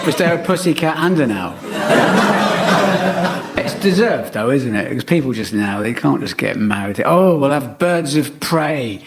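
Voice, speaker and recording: A man's voice: mid-range voice, expressive, a little slow. A man on a comedy panel show, bantering and grumbling bluntly as a comedian guest. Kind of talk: comic panel banter and rants. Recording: noisy live comedy show recording. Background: audience laughter.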